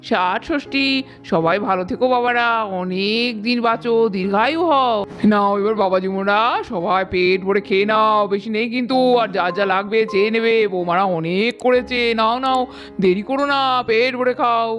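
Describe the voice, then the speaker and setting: A woman's voice: fairly high pitch, moderate pace, a bit bright, close to an everyday voice. Woman playing a fussing old doll grandmother. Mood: doting, blessing. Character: doting elderly doll grandmother blessing her sons-in-law. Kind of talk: Bengali doll story voice acting. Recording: music behind the voice.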